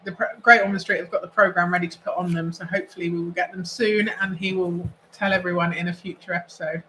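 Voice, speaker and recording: A co-host from the south of England chats on a sailing livestream. The voice sits high and sounds bright and sharp, with quick, upbeat remarks. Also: male voice, flat delivery, a little hiss or hum, poor audio. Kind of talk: casual livestream chat.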